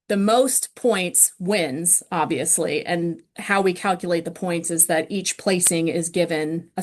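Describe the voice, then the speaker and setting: A woman's voice: mid-range voice, slight background noise. A woman presenting an online awards ceremony, steadily listing winners and their breeding lines with frequent ums, speaking over a video call.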